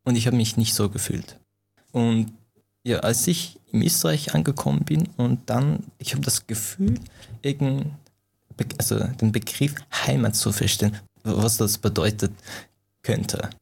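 A young man from Afghanistan who grew up in Iran, a native Farsi speaker, answering interview questions in halting speech with many restarts. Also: medium pitch, vocal fry, darkish tone, breathy edge. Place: Austria.

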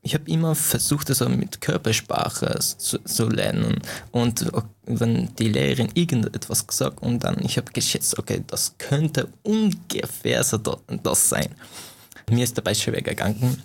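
Gravelly young man. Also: mid-range voice, very animated. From Iran. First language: Farsi. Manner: halting, searching for words, frequent restarts. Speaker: interviewee. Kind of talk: casual interview answers.

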